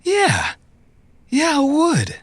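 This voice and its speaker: A woman playing a dazed, gentle, suggestible captive in a cyberpunk audio drama, halting and uncertain. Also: high voice, wildly swooping pitch, no room sound, full character voice.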